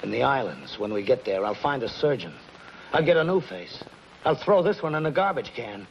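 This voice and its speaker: Terse, demanding killer, medium pitch. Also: male voice, big pitch swings, moderate pace. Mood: intense, insistent. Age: middle-aged. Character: hard, possessive middle-aged killer on the run. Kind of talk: 1950s television crime melodrama acting. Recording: muffled, noisy 1950s television soundtrack.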